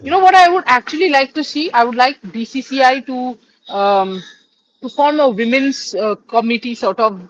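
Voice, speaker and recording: A woman with an Indian English accent answers viewer questions in a relaxed live chat, thinking aloud with fillers and restarts. Her voice sits a little high for a woman. The stream audio is somewhat dull and lo-fi. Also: moderate pace. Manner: thoughtful, with many fillers and restarts.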